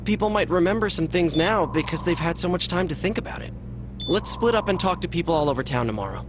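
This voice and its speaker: Higher-pitched, determined teenage boy. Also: animated, quick pace, background noise. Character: earnest, determined teenage boy amateur detective. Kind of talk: English game voice acting.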